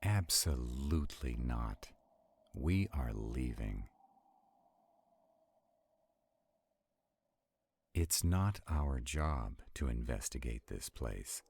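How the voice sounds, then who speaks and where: Guy doing an arrogant stubborn vampire for a roleplay audio, slow and gravelly, super husky, kinda irritated. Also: a bass voice, lively intonation, a little mellow, exaggerated voice. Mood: commanding, defiant. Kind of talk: roleplay audio drama acting.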